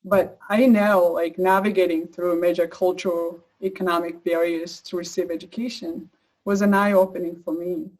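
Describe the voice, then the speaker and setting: A woman's voice: fairly low pitch, level tone, moderate pace, a little mellow, rough recording. Casting: woman, East African accent, earnest advocate delivering a partly read personal speech. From Uganda. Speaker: advocate speaker sharing a personal story.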